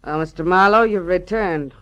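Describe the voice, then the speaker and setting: Tough fortune-teller, a woman acting: low female voice, slangy and taunting, shrewd menace, old radio noir. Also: normal speed, fairly bright tone, lo-fi sound, lightly acted. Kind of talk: 1940s radio drama acting.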